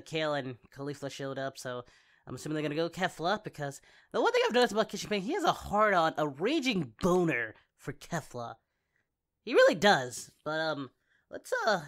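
Man doing an excitable cartoon hero, wildly swooping pitch. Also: normal speed. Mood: amused, hyped. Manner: exclamatory, swings from muttering to yelling. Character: parody Goku, excitable goofy male cartoon hero. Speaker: reaction video host. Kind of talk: casual reaction commentary.

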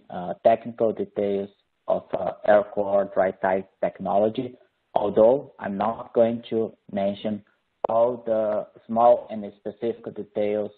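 Brazilian-accented engineer in his thirties, lowish voice, halting friendly delivery, tinny call audio. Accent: Brazilian Portuguese. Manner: hesitant, many "uh" fillers, friendly and explanatory. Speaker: engineer presenting a webinar. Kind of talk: technical webinar presentation. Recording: phone-like narrowband audio.

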